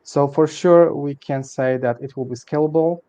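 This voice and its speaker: A man's voice: fairly high pitch, fairly even intonation, normal speed, warm tone, dull audio. A man answering a question in an online webinar over a video call, speaking hesitantly with many fillers. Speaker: project partner answering a question.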